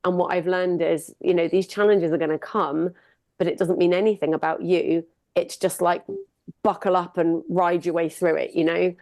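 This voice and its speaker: A woman's voice: on the low side, little pitch movement, moderate pace, a little mellow, no echo. A middle-aged woman from the UK, a singer and sound healer, talking casually and upbeat in an interview. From United Kingdom. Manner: upbeat, chatty, laughs often.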